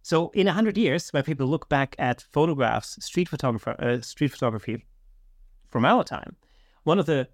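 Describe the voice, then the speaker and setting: A man's voice: on the high side, animated, normal speed, no room sound, studio quality. A middle-aged man hosting a podcast, talking casually and conversationally. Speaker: podcast host.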